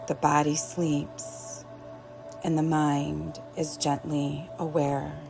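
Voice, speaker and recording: A woman teaching yoga nidra, guiding relaxation in a gentle, soothing way with long pauses. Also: low voice, level tone, gravelly, rough recording. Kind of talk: guided relaxation meditation. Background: soft background music.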